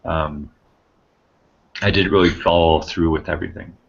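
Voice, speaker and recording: A man speaks casually in a very low voice. He hesitates and lets his sentences trail off. The sound is dull and lo-fi. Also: steady tone, moderate pace, creaky, background noise. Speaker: study group member. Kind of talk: casual chat. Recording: dull lo-fi recording.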